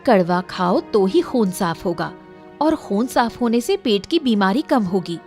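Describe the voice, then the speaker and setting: A woman acts out a caring cartoon wife with a middle-pitched voice. She is insistent and a little scolding. Her nagging comes from concern for her husband. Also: sing-song, measured pace, a little mellow, soft background music. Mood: caring, advising. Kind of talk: cartoon story acting.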